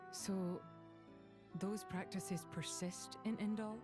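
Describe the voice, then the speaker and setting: A woman's voice: medium pitch, steady tone, slow pace, over music, lightly acted. A woman playing a serious, knowledgeable companion in a video game, composed and explanatory. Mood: sober questioning. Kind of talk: video game cutscene acting.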